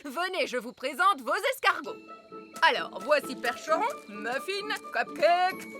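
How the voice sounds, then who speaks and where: A woman voicing a brisk, bossy but kindly fairy nanny teaching children in a French cartoon dub. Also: sits high, over music, heavily acted. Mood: kindly, instructive. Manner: instructive, brisk, animated.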